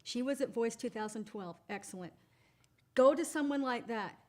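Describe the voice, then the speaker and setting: A chatty, upbeat woman talks as an interview guest, her voice sitting a bit high. She laughs easily and speaks in a relaxed conversational way. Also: normal speed. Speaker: voice actress guest. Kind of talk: casual interview chat.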